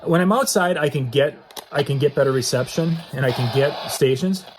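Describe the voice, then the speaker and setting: A man's voice: a little high, normal speed, slight background noise, rough recording. A man giving a casual, unscripted product demo in a folksy, conversational way, repeating himself for emphasis. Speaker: product reviewer, host of an outdoor gear channel. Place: inside a metal building.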